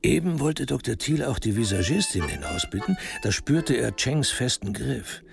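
A man narrating a literary crime radio drama in a measured, precisely articulated way. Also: medium pitch, very animated, gravelly, music in the background.

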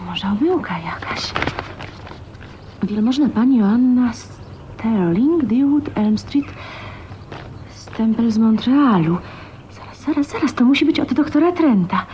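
An actress playing a shy, downtrodden spinster daughter of twenty-nine with quiet rebellion in her, in a hushed, introspective radio drama manner. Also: fairly high pitch. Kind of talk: Polish radio drama acting. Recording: muffled, noisy late-1980s radio drama recording.

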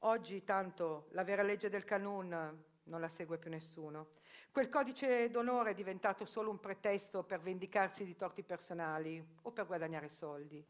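A proud older sworn virgin who has lived as a man for decades, played by a woman speaks in a lowish, steady voice. The telling is stern and matter-of-fact, like testimony in a theatre monologue for radio. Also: moderate pace, natural voice. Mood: solemn. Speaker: actress performing a theatrical reportage.

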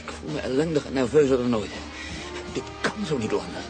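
An actor in a radio drama playing an anxious, brooding newspaper columnist who speaks earnestly and confidingly. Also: male voice, medium pitch, expressive, brisk, loud music behind the voice, close to an everyday voice. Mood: agitated, distressed. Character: troubled, anxious newspaper columnist haunted by a mystery.

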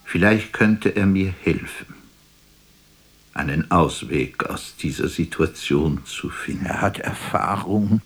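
An actor playing a melancholy, self-doubting stage player in a radio drama, speaking in a declamatory, poetic way that moves between murmur and outcry. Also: male voice, low-pitched, takes it slowly, breathy edge, dry recording, heavily acted. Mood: pensive, yearning. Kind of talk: theatrical radio drama monologue.

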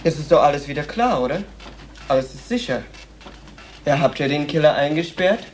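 An actor playing a blunt, provocative, streetwise transvestite prostitute in a German radio play. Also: male voice, fairly high pitch, animated, normal speed, close to an everyday voice. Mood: defiant, angry. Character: defiant, streetwise transvestite prostitute in New York.

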